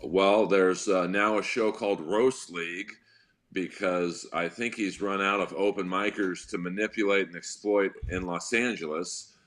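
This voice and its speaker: A man with a deep voice, a comedian guest telling wry, rambling stories in a casual podcast chat. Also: steady tone, measured pace, a bit bright.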